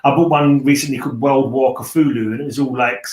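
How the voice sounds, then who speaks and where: A man's voice: mid-range voice, steady tone, brisk, darkish tone. A man hosting a casual livestream chat, talking in an informal, rambling way. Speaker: host of a livestream read-along. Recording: roomy, echoey sound.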